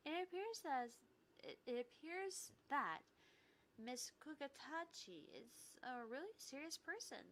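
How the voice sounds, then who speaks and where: A woman's voice: high-pitched, very animated, unhurried, fairly bright tone, slight background noise, voice pushed up, heavily acted. A woman voicing a friendly, upbeat young female inspector in a visual novel, casually polite. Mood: friendly, chirpy.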